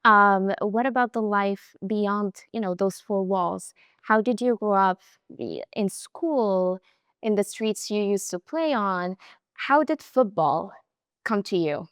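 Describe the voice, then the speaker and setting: A young woman hosting a sports interview, asking warm, admiring questions in a lively way. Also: mid-range voice, slow pace, clear, resonant tone, no echo, studio quality. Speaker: interview host.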